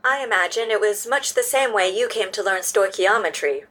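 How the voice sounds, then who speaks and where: A woman's voice: medium pitch, moderate pace, fairly bright tone, natural voice. A woman playing an earnest, curious health robot in an audio drama, speaking in precise, formal sentences. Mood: friendly, explaining. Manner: precise, polite, formal wording.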